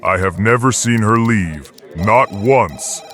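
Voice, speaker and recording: A man's voice: on the low side, slow, a bit bright. An actor playing a jittery, suspicious young male call center agent in an audio drama, speaking in urgent, insistent short phrases. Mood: suspicious, hushed. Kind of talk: scripted audio drama acting.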